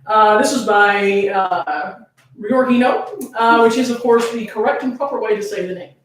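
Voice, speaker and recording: A woman's voice: mid-range voice, moderate pace, slight background noise. A woman hosting a fan fiction reading, playful and sweary, moving between casual teasing banter and dramatic read-aloud. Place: indoors, echoey room, camera on tripod. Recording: very echoey room, distant from mic.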